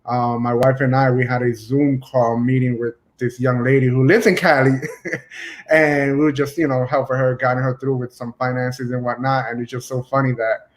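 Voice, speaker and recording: A man's voice: mid-range voice, flat delivery, normal speed. Rambling casual male interviewer. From Dominican family, US. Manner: rambling, many fillers like "you know". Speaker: podcast host.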